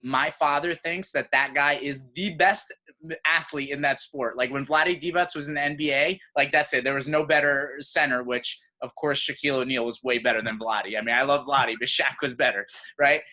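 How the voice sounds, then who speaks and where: A man from Serbia hosting a podcast, talking in an animated, conversational way. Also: medium pitch, moderate pace. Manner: animated, conversational, says "you know" often. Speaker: podcast host.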